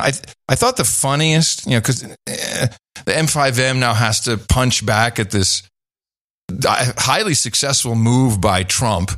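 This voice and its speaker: A man co-hosting a podcast in casual chat, joking and laughing often, quick to ask questions. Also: mid-range voice, fairly bright tone, dry recording, studio quality. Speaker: podcast co-host.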